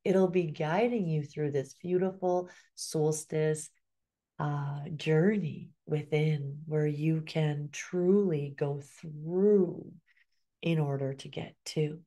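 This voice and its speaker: A middle-aged woman guiding a hypnosis session in a slow, soothing way, often sinking into a soft whisper. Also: low-pitched, fairly even intonation.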